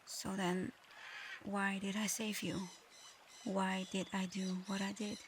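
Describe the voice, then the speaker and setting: A woman voicing a proud but conflicted dragon girl in human form for an ASMR audio roleplay, soft-spoken, hesitant and earnest. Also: mid-range voice, little pitch movement, slow pace, background noise. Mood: solemn, conflicted.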